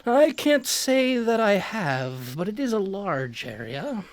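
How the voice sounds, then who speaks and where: High-voiced, animated eccentric naturalist played by a man. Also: slow pace, no room sound, exaggerated voice. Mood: matter-of-fact. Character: eccentric male naturalist and insect collector, chatty, matter-of-fact. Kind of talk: radio drama acting.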